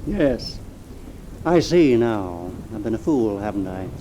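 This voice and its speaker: A beaten, resigned accomplice in a vintage radio thriller. He speaks extremely slowly and haltingly, in a dark, bitterly ironic voice that rises and falls widely. Faint rain and thunder run behind it. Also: lowish voice, big pitch swings, slight background noise, low-quality recording. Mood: resigned, fearful. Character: weak, defeated male accomplice facing death, bitterly ironic. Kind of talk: 1950s radio drama acting. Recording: old radio recording under rain and thunder.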